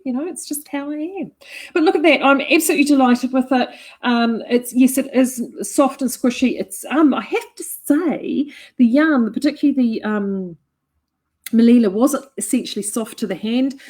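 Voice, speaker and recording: A woman's voice: fairly high pitch, a little mellow. A middle-aged woman with a New Zealand accent chatting casually to camera from her yarn shop, enthusiastic and full of fillers and restarts. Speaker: yarn shop owner and knitting vlogger.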